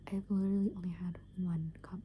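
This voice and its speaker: A woman in her twenties whispering casual, meandering ASMR thoughts in a hushed, unvoiced voice. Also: fairly low pitch, flat delivery, brisk, phone-quality sound. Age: 20s. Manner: casual, meandering, thinking aloud. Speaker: ASMR creator. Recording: clearly noisy background hiss and ambience.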